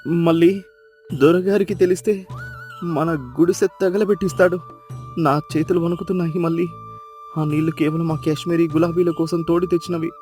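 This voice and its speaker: A man plays a poor, humble villager with a notably high voice. He sounds deferential and worried, pleading in a moral-story cartoon over light music. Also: fairly even intonation, normal speed, long pauses, darkish tone, natural voice. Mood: sorrowful, fearful. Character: humble, anxious poor village servant or farmer.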